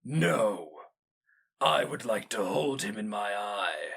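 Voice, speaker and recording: A man performs a stern fantasy hero mage in a storybook reading. He speaks with mild, deliberate menace and open contempt. The tone is forceful and clearly edged. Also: lowish voice, sing-song, exaggerated voice. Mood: bland menace.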